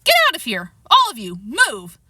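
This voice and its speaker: A woman's voice: high-pitched, very animated, normal speed, sharp tone, no room sound, studio quality, voice pushed up, exaggerated voice. A woman voicing a threatening, easily flustered man in a storybook, blustering and exclaiming indignantly.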